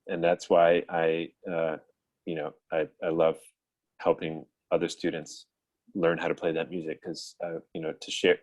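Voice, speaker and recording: Middle-aged American male musician, low register, reflective and halting interviewee, dry close sound. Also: a little mellow, no echo. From United States. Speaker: guest violist. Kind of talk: thoughtful interview answers. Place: indoors at home, on a video call.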